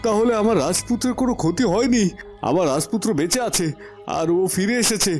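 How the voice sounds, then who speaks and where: A man's voice: high-pitched, lively intonation, fast talker, darkish tone, soft background music. A man voicing a devout, long-suffering cartoon king and father, emotional and emphatic in his melodrama. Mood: overjoyed relief. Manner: emotional, emphatic, repeating phrases.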